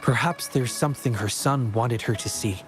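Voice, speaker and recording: A man's voice: medium pitch, normal speed, natural voice. An actor voicing a considerate, well-mannered young man in a video game, speaking politely and earnestly. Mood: reflective, earnest. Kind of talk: scripted video game voice acting. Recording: music playing behind the voice. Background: game music.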